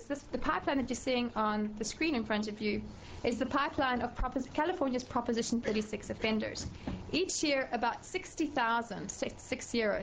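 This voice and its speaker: A middle-aged professor with a South African accent gives formal testimony before a committee. Her voice sits slightly high, and she speaks in a measured way, pausing on fillers and restarting phrases. A faint hiss runs underneath.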